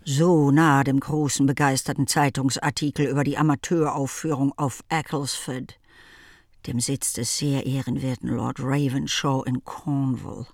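A woman's voice: rumbling low, steady tone, a little slow, a little mellow, no room sound, studio quality. A woman delivering scripted literary narration as the narrator of a German radio drama.

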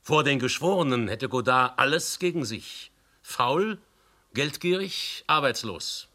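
An actor playing a blunt, incredulous police commissioner with an emphatic, probing delivery in a German radio play. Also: male voice, mid-range voice, animated, unhurried, pushed and bright. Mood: emphatic warning.

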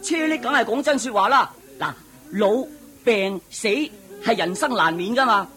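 A man's voice: sits high, expressive, measured pace, fairly bright tone, faint music behind. A man acting a prince's loyal chariot driver in a Cantonese radio drama, deferential and explaining things plainly. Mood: frank.

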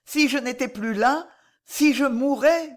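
A gentle noblewoman and mother, acted out by a female reader, speaks with solemn tenderness. Her formal, earnest delivery carries grief and dignity, in the manner of a dramatic historical audiobook. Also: sits high, measured pace, pitched above the performer's own voice. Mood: tender, grave.

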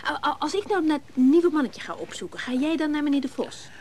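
Flamboyant coaxing lady, radio drama, high voice, a bit of background noise. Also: big pitch swings, fast talker, darkish tone. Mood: insistent. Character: flamboyant, insistent married woman, teasing and pushy. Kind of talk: naturalistic radio drama acting.

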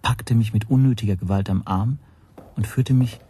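An actor playing an anxious foreign language teacher who narrates his own story in a measured, introspective radio play style. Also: on the low side, dark tone, slight background noise, lightly acted. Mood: uneasy, measured. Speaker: first-person narrator.

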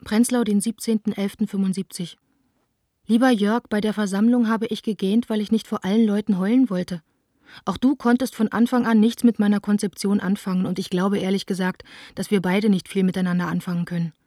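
An actress plays a young female theatre director in a radio play, frustrated yet defiant. Her voice is mellow and very evenly pitched, in a dry, close recording. She confides her letters in a subdued, literate way. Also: fairly even intonation, a little fast, dry recording, close to an everyday voice. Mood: curt, defiant. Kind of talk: radio play, letters read as intimate monologue.

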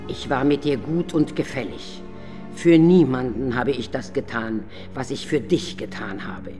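A woman's voice: extremely low pitch, warm tone, soft background music. An actress playing the Night, a secretive, motherly guardian spirit who speaks solemnly and pleads in warning in a radio play. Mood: urgent warning. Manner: slow, solemn, pleading and admonishing. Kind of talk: German radio play acting.

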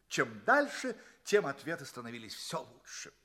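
An actor playing a courteous space traveller from Earth, narrating his tale expressively and deliberately in an audio play. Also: medium pitch, sing-song, a bit bright. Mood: solemn, pleased. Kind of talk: theatrical first-person audio play narration.

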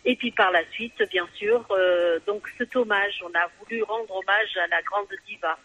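A woman's voice: mid-range voice, normal speed, a bit bright, breathy edge, dull audio. A woman, president of an association, chatting cheerfully as a radio guest with many hesitations. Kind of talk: casual radio chat.

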